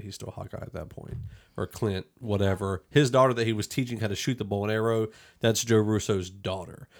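Low-voiced man, podcast host. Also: a little mellow. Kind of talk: casual film review discussion.

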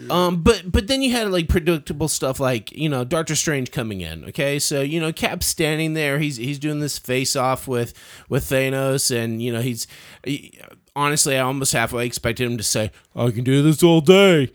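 A man's voice: medium pitch, animated, normal speed, hardly any pauses. A man giving a casual, opinionated film review as a podcaster, often stammering and repeating words as he starts.

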